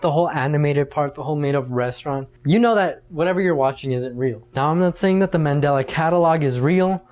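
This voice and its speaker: A man's voice: a little high, a little mellow. A man hosting a casual video essay, talking in an informal, conversational and slangy way.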